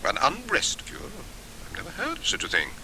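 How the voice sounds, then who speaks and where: A man's voice: a little high, huge pitch swings, normal speed, sharp tone, pitched above the performer's own voice, heavily acted, poor audio. A man voicing a fussy, sedate middle-aged bachelor in an audiobook, prim, plaintive and precise, set in his ways. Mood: puzzled. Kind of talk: acted audiobook dialogue. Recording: hissy old cassette tape.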